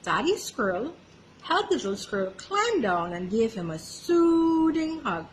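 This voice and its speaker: A woman storyteller reading a children's picture book aloud in an expressive, animated way. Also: on the high side, a little slow, a little hiss or hum. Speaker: library storyteller.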